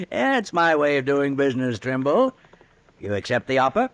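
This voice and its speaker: A man playing a smooth, scheming American businessman villain in an old-time radio western, smug and falsely courteous. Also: mid-range voice, big pitch swings, moderate pace. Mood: confident, pushy.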